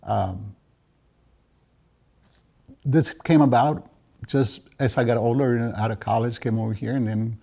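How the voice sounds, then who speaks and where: A man from Mexico giving casual interview answers about his trade, often halting as he searches for words. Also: medium pitch, creaky, dark tone. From Juarez, Mexico. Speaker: interviewed draft beer system installer and business owner.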